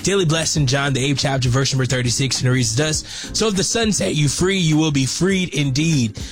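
A man hosting a gospel radio segment, slightly hoarse, praising God and reading scripture. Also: on the high side, fairly quick, soft background music. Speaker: radio devotional host. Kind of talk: upbeat gospel radio talk.

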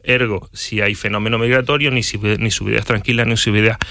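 Fast, forceful Canarian man debating. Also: fairly low pitch, fairly even intonation, creaky, dry recording, studio quality. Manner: argumentative, reasoned, with self-corrections. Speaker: political debate panelist. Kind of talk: televised political debate.

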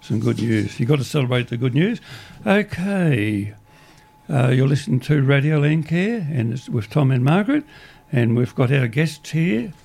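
Croaky, gravelly Australian man on radio. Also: medium pitch, lively intonation, moderate pace, a little mellow. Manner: rambling, restarts often, upbeat. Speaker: community radio host. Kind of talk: casual radio announcements.

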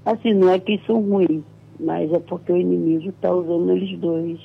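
A woman's voice: lowish voice, steady tone, fairly quick, vocal fry, husky. Hesitant woman caller on a tinny phone line. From Rio de Janeiro state, Brazil. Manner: hesitant, pleading, repeats herself. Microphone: phone call.

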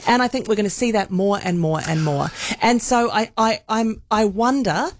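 A woman's voice: medium pitch, moderate pace, dry recording, studio quality. A woman with a New Zealand accent, talking earnestly as a panelist in a casual discussion. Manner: earnest, restarts sentences when making a point.

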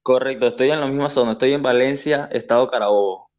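A man's voice: medium pitch, quick pace, highs cut off. A Venezuelan man who is tired, a citizen volunteer interviewed on the news. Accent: Venezuelan Spanish. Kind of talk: phone interview.

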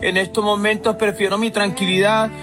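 Music plays clearly behind a man acting as a prim man who is fed up, in a radio sketch. His voice is notably high and exasperated. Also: level tone, moderate pace, natural voice. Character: fed-up man replying to a spurned former ally.

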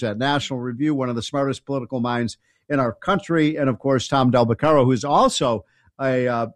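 A man's voice: medium pitch, no echo. A man hosting a talk radio show, sounding stuffed up from a summer cold, casual with frequent interjections. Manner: casual, quick interjections, some stumbles.

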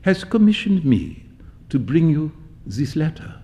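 A man voicing an elderly, formal French gentleman messenger in a story reading, courteous, with a slight French accent. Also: on the high side, sing-song, measured pace.

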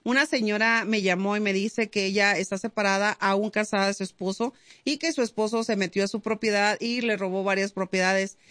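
Brief: woman radio host, mid pitch, upbeat and chatty, dry studio sound. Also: fairly even intonation, brisk, a bit bright, no echo. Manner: upbeat, chatty, hesitant fillers. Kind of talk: casual radio chat. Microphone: studio mic.

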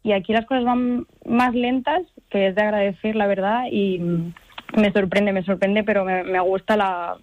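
A woman's voice: mid-range voice, steady tone, normal speed, no room sound, dull audio. A woman in her twenties from Spain answering radio interview questions, chatty and hesitant, full of fillers and restarts. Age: 20s. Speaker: guest artist.

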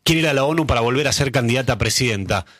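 A man with an Argentine accent speaks rapidly at a medium pitch. He comments casually on politics as a co-host, and the recording is dry. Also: expressive, a bit bright, no room sound. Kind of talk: casual live political chat.

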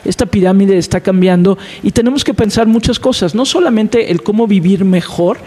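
A woman's voice: mid-range voice, brisk, warm tone, breathy edge, in a large hall. A Mexican woman in her fifties hosting a broadcast interview, talking conversationally with fillers and repeated words. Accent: Mexican Spanish. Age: 50s. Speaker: host.

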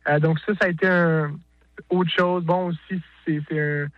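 A man's voice: on the high side, flat delivery, moderate pace, dry recording, dull audio. A male singer-songwriter with a Québécois French accent, talking casually in an interview, hesitant with many restarts and fillers.

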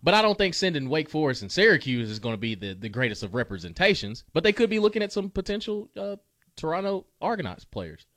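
A man co-hosting a casual sports talk show, lively with quick reactions. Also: on the high side, no room sound, studio quality.

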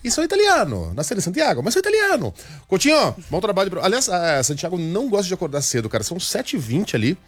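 A man's voice: high voice, wildly swooping pitch, quick pace, no room sound. A male radio host in joking studio banter, playful and teasing.

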